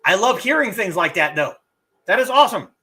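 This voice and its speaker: Middle-aged martial arts teacher on a stream, super lively pitch, bright voice, chatting with viewers. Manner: animated, drawn-out demonstrations of word sounds. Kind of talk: casual live-stream chat answering viewer comments.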